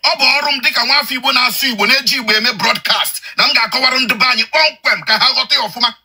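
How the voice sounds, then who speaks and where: A man's voice: very high voice, racing, pushed and bright, husky. A man who works as an Igbo-language broadcaster, making an urgent, repetitive appeal that switches between Igbo and English.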